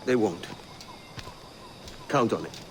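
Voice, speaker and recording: An actor playing a blunt, self-assured young pirate captain in a video game, with a terse, dry manner. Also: male voice, lowish voice, takes it slowly, creaky, noisy background. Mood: defiant. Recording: music under the voice.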